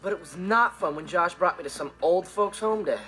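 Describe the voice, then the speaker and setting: A man's voice: sits high, brisk. An actor playing a cocky, mischievous, self-centred teenage boy telling slangy stories in a sitcom monologue. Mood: smug, offhand. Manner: animated, offhand slangy storytelling.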